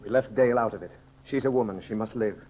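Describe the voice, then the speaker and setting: An actor playing a grave, loyal companion and adviser, speaking formally and with measured solemnity in a 1930s radio serial. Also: male voice, fairly low pitch, darkish tone, slightly rough. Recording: noisy 1930s radio recording.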